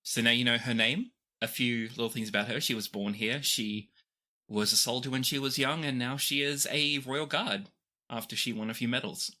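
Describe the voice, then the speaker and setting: A game master narrating a tabletop roleplaying game off the cuff, describing scenes expressively with pauses. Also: mid-range voice, fairly even intonation, bright, forceful tone.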